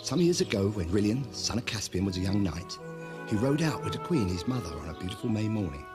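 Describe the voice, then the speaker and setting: A man playing a storyteller who narrates a royal legend gravely and formally in an audio drama. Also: on the low side, very animated, a little fast. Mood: solemn. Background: music.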